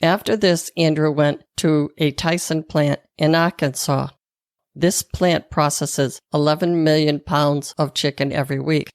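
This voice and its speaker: A woman's voice: low voice, steady tone, unhurried, dry recording, clean studio sound. A woman with a Boston accent hosting a podcast solo, reading scripted narration. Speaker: podcast host and health coach.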